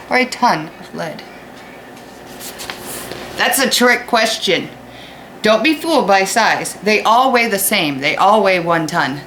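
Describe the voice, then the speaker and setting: American woman teacher, noisy recording. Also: fairly low pitch. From United States. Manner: explanatory, asks questions of young listeners. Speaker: fifth-grade teacher. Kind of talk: children's read-aloud lesson with informal asides.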